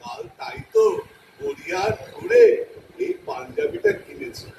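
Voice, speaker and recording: A man's voice: very high-pitched, expressive, a little slow, gravelly, a little mellow, exaggerated voice. A man playing a foolish, vain and eager young admirer in an audio drama. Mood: fawning. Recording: echoey, distant, noisy.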